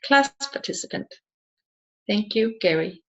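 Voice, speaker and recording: A woman reading scripted nonfiction narration aloud in a measured way, as an audiobook reader working through questions and answers. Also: mid-range voice, lively intonation, a bit bright.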